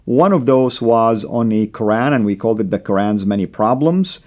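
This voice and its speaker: A Saudi-born man, a native Arabic speaker with an American accent, hosting a studio discussion in a measured way with many fillers. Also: lowish voice, darkish tone. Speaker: host.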